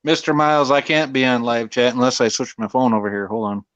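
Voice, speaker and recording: A man hosting a stream, bantering loudly with crude, teasing jokes. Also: mid-range voice, fairly even intonation, brisk, no echo, lo-fi sound. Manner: loud, profane, teasing banter. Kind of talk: casual chat.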